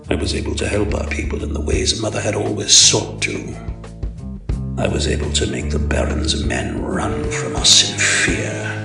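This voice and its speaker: Guy doing a brooding proud comic villain narrating his youth, low and grave, roomy sound w music behind. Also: gravelly, far from the mic. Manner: grave, deliberate, formal, theatrical. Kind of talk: dramatic narration of a comic dub.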